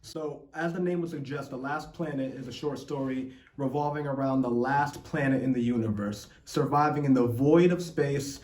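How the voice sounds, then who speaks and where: A man speaking as an author in a scripted promotional talk. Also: medium pitch. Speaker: author promoting a short story. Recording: echoey room.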